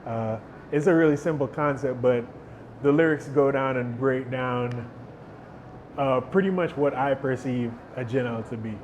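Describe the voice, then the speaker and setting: A young man, a musician, giving relaxed, thoughtful interview answers and often restarting his words. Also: medium pitch, a little slow, slight background noise. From Jamaica. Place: outdoor stage.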